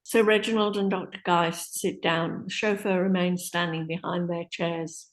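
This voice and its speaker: A woman's voice: on the low side, flat delivery, moderate pace. A woman reading stage directions as scripted narration for a play.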